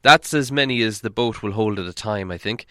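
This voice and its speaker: A man's voice: lowish voice, fairly quick, pushed and bright, clean tone, no echo. A commanding old dwarf chief acted by a male reader speaks out emphatically in a storybook adventure. He is stern and blunt, giving orders with authority, and the sound is dry and close. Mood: stern, bossy.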